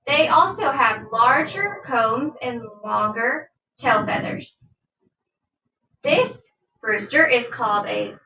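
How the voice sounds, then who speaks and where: A woman reading a nonfiction children's book aloud like a teacher, deliberate and instructive, with questions to her young listeners. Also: high voice, pushed and bright. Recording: dull, roomy sound, distant from mic.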